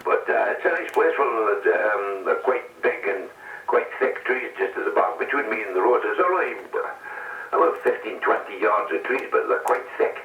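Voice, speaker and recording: An older man chatting casually and rambling in an unhurried way over CB radio. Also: on the low side, lively intonation, roomy sound, phone-quality sound, slight background noise. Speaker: amateur CB radio operator. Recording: CB radio transmission, boxy and distant.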